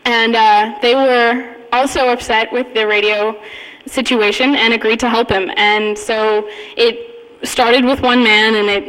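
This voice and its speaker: A woman giving an informal talk, telling a personal story in a halting, amused manner. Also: on the high side, steady tone, fairly bright tone. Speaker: conference speaker, radio hacker community member. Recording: echoey hall with long reverb.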